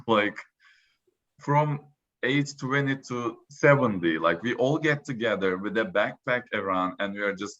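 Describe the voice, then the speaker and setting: A man's voice: lowish voice, fairly bright tone. A man from Turkey, a native Turkish speaker, chatting on a video call panel with many "like" fillers. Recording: dull lo-fi call audio.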